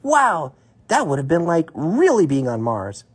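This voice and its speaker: A man's voice: a little high, wildly swooping pitch, unhurried, husky, voice pushed up, exaggerated voice. A man voicing a whiny, complaining young bear cub boy from a children's storybook. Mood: whiny, dismayed. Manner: complaining, exclamatory. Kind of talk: children's storybook read aloud.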